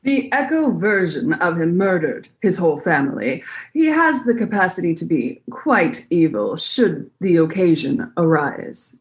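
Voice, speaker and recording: A woman's voice: fairly low pitch, very animated, measured pace. A woman playing a cold, suspicious military aide in a comedy sci-fi radio play, speaking in a clipped, formal, probing way. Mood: distrustful.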